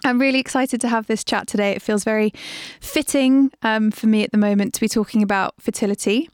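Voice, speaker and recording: A woman's voice: fairly high pitch, steady tone, a little fast, smooth, no echo, studio quality. A young adult woman hosting a podcast, reading an intro and then chatting with a guest in a friendly, upbeat way. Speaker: podcast host. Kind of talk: scripted intro then casual interview.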